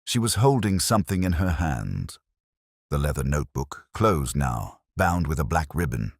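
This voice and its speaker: Man acting as weary middle-aged detective, extremely low voice, animated intonation, brooding, literary, measured radio drama narration. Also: no room sound, studio quality, heavily acted. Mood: weary, reflective. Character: weary, melancholy, reflective middle-aged male police inspector. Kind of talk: first-person scripted detective drama narration.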